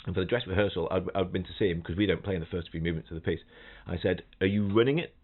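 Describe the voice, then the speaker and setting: A middle-aged musician talks in a low voice, chatty and full of restarts. He sings rhythms and imitates the people in his stories. The sound is narrow and tinny, like a phone call. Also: racing. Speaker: guest, professional trombonist. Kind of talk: casual podcast chat, anecdotes. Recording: thin phone-like audio.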